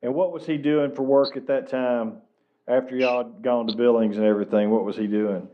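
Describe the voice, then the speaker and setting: A man's voice: mid-range voice, fairly even intonation, a little fast. Man with drawl asking questions. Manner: brief, prompting follow-up questions. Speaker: interviewer. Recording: tinny phone-like sound.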